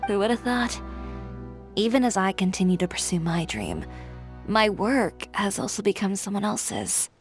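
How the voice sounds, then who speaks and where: A woman's voice: medium pitch, big pitch swings, moderate pace, creaky. A woman voicing a confident, stubborn young fashion designer who talks in a cool, wry, self-assured way. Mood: reflective. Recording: game audio over soft music.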